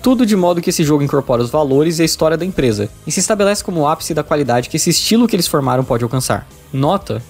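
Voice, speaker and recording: A man's voice: fairly high pitch, lively intonation, fast talker, hardly any pauses, darkish tone. A man with a Brazilian accent reading a scripted video game review in an emphatic, opinionated way. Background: background music.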